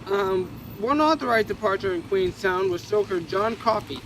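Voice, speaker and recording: A man's voice: sits high, moderate pace, background noise. A man reading a history book aloud, stumbling and correcting himself casually as he goes. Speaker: reader.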